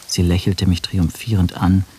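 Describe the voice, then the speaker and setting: A man's voice: deep voice, level tone, moderate pace, a little mellow, slight background noise, lightly acted. An actor playing an anxious, scheming man who narrates his own suspicions in a German radio play. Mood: measured, brooding. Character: anxious, scheming male first-person narrator, brooding over suspicions.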